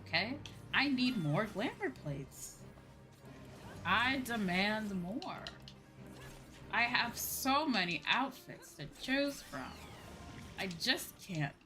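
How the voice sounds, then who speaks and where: A woman's voice: medium pitch, sharp tone. A woman streaming a game and chatting casually, with a sweary, playfully whiny and emphatic manner. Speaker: streamer, VTuber host.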